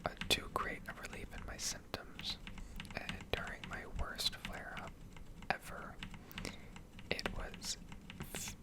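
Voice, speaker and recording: A woman speaking in a soft, breathy whisper close to the microphone, rambling and explaining in a hesitant ASMR style. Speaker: ASMR video creator.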